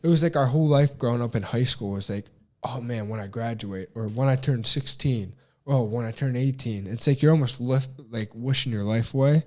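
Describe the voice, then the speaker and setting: Young male co-host, warm low-brightness voice, rambling casual chat. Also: fairly quick. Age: young adult. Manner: earnest, rambling, heavy use of "like" and "dude". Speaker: podcast co-host.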